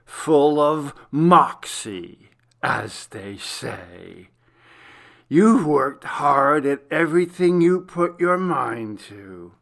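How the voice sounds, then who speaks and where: A man voicing a menacing, gloating serial killer in a horror story, taunting with slow, drawn-out mock affection. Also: fairly high pitch, very animated, gravelly, a bit bright, voice pushed up, full character voice. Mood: taunting, sinister. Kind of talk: dramatic horror story reading.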